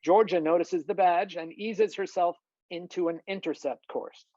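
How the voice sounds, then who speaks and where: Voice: medium pitch, fairly even intonation, moderate pace, a little mellow, no room sound. Muffled, dry narrator reading stage directions. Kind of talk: scripted narration at a table read. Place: online video-call table read. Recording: muffled, dull video-call audio.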